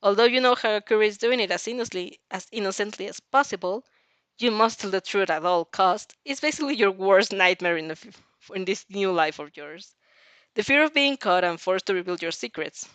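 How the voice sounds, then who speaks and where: A woman's voice: mid-range voice, lively intonation, brisk, fairly bright tone, clear, resonant tone, dry recording. A woman reading a fan story aloud informally, giggly and stumbling over lines as she reacts to it. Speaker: narrator and reactor of fan fiction.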